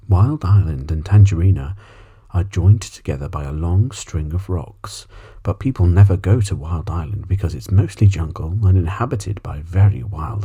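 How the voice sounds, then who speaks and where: A man voicing a polite, well-travelled old female alley cat in a storybook, soft and breathy, telling her tales at leisure. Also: deep voice, exaggerated voice. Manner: courteous, leisurely, reminiscing. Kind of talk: ASMR storybook reading.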